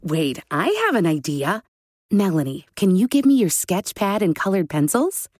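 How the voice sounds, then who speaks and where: A woman voicing a patient, caring mother in a storybook, explaining things in a calm, reassuring way. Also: on the low side, moderate pace.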